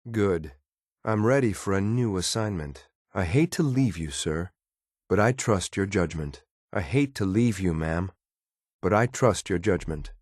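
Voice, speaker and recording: Casting: stern synth soldier companion in a video game. Lowish male voice, clipped military formality, cool loyalty, dry studio sound. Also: darkish tone, no room sound. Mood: formal, measured.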